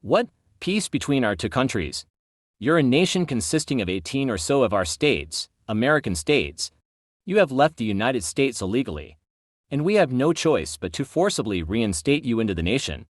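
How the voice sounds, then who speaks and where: A commanding official envoy, played by a man, blunt and unbending as he lays down terms. His mid-pitched voice swings widely and the recording is dry and close. It sounds like an amateur audio drama. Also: big pitch swings, normal speed, no echo. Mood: stern. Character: stern male government envoy delivering an ultimatum. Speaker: presidential representative.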